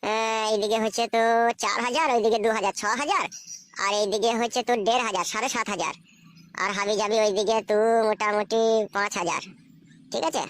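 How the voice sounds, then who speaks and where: An actor voicing a crude, pushy, foul-mouthed young man in a comic cartoon, with a pitched-up voice. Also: fairly quick, fairly bright tone, dry recording. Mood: angry demanding. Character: crude, pushy, money-minded young male lover.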